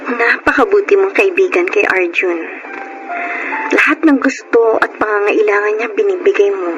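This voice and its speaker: A woman playing a gentle, grateful young mother in a Filipino radio drama, speaking earnestly with a Filipino accent. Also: medium pitch, moderate pace, dull audio, close to an everyday voice. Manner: earnest, heartfelt. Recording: music bed under the voice.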